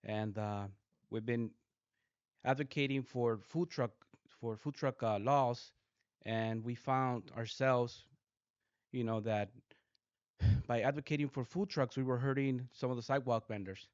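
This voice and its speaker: A man from Mexico in his forties, a guest engineer speaking softly and hesitantly, with many fillers. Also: mid-range voice, fairly even intonation, measured pace, pauses often, no room sound. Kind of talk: casual interview talk. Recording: dull dry podcast audio.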